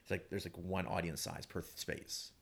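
A man speaking as a guest in a casual panel discussion, halting and repeating words, with emphatic turns. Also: low voice, steady tone, creaky, fairly bright tone, slightly rough.

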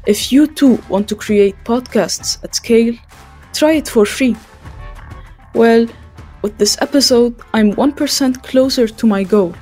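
A woman hosting a podcast, delivering prepared questions and scripted lines in a measured, formal way. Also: on the high side, darkish tone, faint music behind. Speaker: podcast host.

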